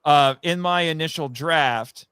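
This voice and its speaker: A man chatting casually as a guest on a live podcast, telling stories in a rambling, animated way and acting out quoted remarks. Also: on the high side, a little slow, smooth, dry recording, clean studio sound. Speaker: guest, audio drama writer.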